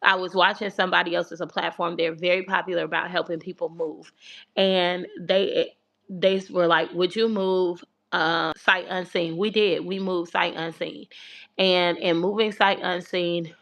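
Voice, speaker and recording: A woman's voice: fairly low pitch, level tone, a little slow, fairly bright tone. Woman with a childlike baby voice. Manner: chatty and rambling, repeats herself, speaks with faith. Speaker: host of a relocation livestream. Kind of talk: casual livestream talk to viewers. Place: home abroad in Kenya. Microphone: phone livestream.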